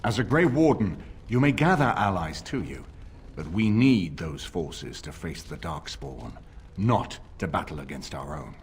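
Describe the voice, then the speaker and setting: Low male voice, grave nobleman, measured formal speech, varied intonation, video game drama. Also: sing-song, a little hiss or hum, heavily acted. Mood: stern, commanding. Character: grave older nobleman lord rallying allies against a usurper.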